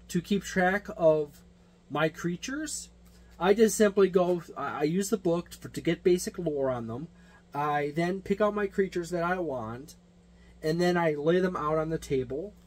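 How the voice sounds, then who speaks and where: A man with a somewhat high-pitched voice talks through an unscripted product overview. He explains things step by step in a rambling way, often circling back to rephrase or repeat a point, in the manner of a hobby game reviewer. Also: darkish tone, slight background noise. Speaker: tabletop game reviewer and game master.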